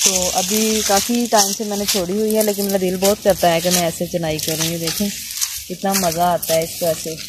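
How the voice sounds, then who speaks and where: A woman's voice: lowish voice, level tone, fairly quick. A woman with a Punjabi accent, vlogging casually outdoors in a cotton field and explaining things in a chatty way full of filler phrases. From Punjab, Pakistan. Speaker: village vlogger. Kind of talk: casual vlog narration. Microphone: handheld phone camera. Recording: noisy outdoor phone recording.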